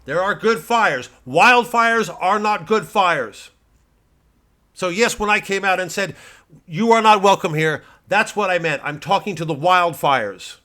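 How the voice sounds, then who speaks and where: A man acts out an American right-wing pundit in a satirical podcast rant. The voice is sharp and forceful, full of bombast and indignant self-importance. He repeats himself and pauses heavily for emphasis. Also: high voice, voice pushed up. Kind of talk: satirical political podcast monologue.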